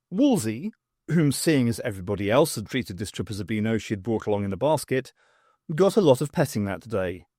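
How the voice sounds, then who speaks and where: A man's voice: medium pitch, darkish tone, no echo, studio quality. A man reading scripted narration from a science fiction audiobook.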